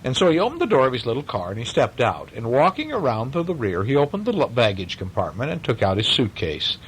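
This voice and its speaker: A man reads a bedtime story in a middle-pitched voice with very lively intonation. He slips easily into chatty, questioning asides for a young listener. A faint rumbling noise runs under the recording. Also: fast, low-quality recording. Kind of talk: animated bedtime story reading with casual asides.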